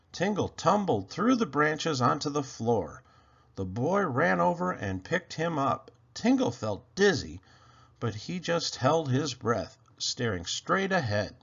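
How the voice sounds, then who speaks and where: A man with a gravelly, husky voice narrating a children's story in an expressive read-aloud style. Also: on the high side, muffled recording. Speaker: storybook reader.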